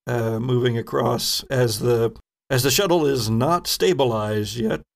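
A man working as game master, narrating events and rules in a halting, think-aloud way. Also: medium pitch, gravelly. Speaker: game master of a tabletop role-playing podcast. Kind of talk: improvised game narration, explaining rules and outcomes.

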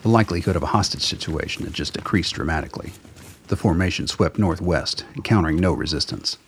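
A man's voice: deep voice, fast, a little hiss or hum. A man reading scripted narration for a radio drama.

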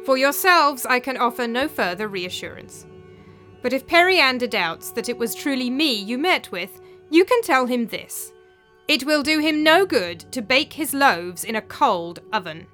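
A scornful dead queen's ghost, played by a woman narrator, speaks in a high, bright voice. She is imperious and accusing, full of theatrical bitterness, with faint music behind her. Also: big pitch swings, measured pace, heavily acted. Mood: scornful, bitter.

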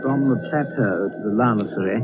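An actor playing a grave, reflective storyteller, narrating a 1930s radio drama in deliberate, evocative phrases. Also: male voice, on the low side, over loud music, natural voice. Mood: solemn, reflective. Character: grave, reflective storyteller recalling a friend's adventure. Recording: thin, tinny 1930s radio recording.

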